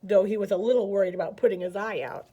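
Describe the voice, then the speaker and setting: A woman reading a picture book aloud as a children's storyteller, with animated, dramatic emphasis. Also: mid-range voice, fast, husky, muffled recording.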